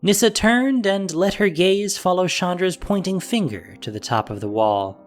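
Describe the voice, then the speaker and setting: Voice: medium pitch, expressive, normal speed, soft background music. A narrator reading a scripted fantasy story in a dramatic audio drama style.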